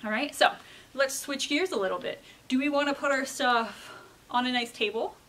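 A woman's voice: high voice, normal speed, fairly bright tone. A woman in her thirties, a children's librarian, talks casually to kids, teaching through questions and frequent check-ins. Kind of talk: casual explanatory talk to children. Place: indoor library children's room.

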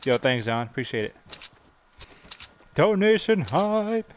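Hoarse young man streaming games. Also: a little high, very animated, slow pace, slight background noise. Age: young adult. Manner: hype-driven, slangy, thanking donors between plays. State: hoarse, losing his voice. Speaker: game streamer. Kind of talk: live gameplay commentary with chat shout-outs. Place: indoor gaming room.

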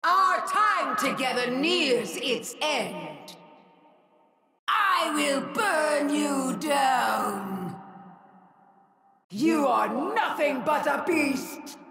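Vengeful, slow-speaking alien villainess in echo. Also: female voice, high-pitched, lively intonation, noisy background, heavily acted. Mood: enraged. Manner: menacing, commanding, grandiose. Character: vengeful, imperious female alien herald of an ancient race. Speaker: video game villain. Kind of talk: dramatic video game voice acting.

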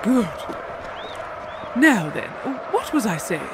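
A woman as a lively, imperious monarch. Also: medium pitch, takes it slowly, crowd noise, background noise. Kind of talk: comic audio drama acting.

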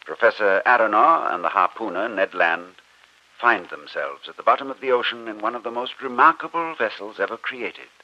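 A man's voice: fairly low pitch, lively intonation, moderate pace, pushed and bright, highs cut off. A man announcing a radio drama in a scripted old-time broadcast style.

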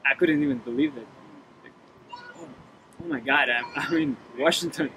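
A man's voice: mid-range voice, moderate pace, bright, forceful tone. A man in his twenties with a Ukrainian accent giving a testimonial on camera. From Kyiv, Ukraine. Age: 20s. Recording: noisy outdoor pool area.

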